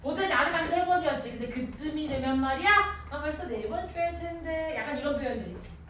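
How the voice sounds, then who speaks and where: Woman teacher, very high voice, heavily echoing classroom, distant mic, informal, question-driven lesson delivery. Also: fast. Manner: animated, quizzing, informal, often prompting with questions. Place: indoor classroom at a chalkboard. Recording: echoey classroom from a distance, faint noise.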